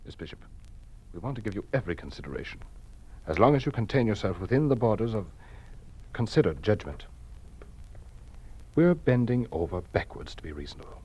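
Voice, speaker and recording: A man's voice: lowish voice, very animated, a little fast, long pauses, warm tone, breathy edge, muffled recording. An actor playing a condescending, formal male official of a totalitarian regime in a 1950s radio drama.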